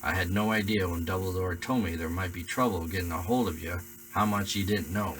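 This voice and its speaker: Guy putting on a big gruff half-giant, rough and hearty, a bit louder than his usual narrating, bright edge. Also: deep voice, brisk, slight background noise. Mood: worried.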